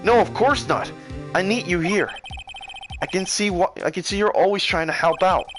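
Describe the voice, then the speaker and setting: A woman's voice: fairly low pitch, normal speed, over music, natural voice. A woman voicing an earnest, worried young male defense lawyer in a video game, in a casual way. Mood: reassuring. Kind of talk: video game dialogue voice-over.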